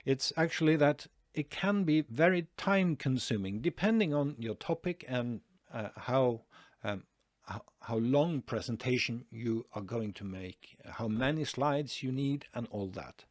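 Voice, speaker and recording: A man's voice: medium pitch, expressive, measured pace, dry recording, clean studio sound. A Norwegian man talking through a screen-share tutorial, hesitant, with many fillers and restarts. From Norway. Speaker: online marketing tutor.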